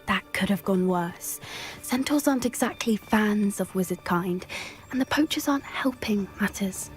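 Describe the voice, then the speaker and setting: An actress playing a cautious, observant young witch in a video game, earnest and measured. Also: mid-range voice, animated, faint music behind, lightly acted. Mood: puzzled. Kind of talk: video game cinematic acting.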